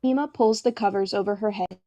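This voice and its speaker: A woman's voice: mid-range voice, a little fast, warm tone, dull audio. A woman giving scripted narration, reading the stage directions of a play.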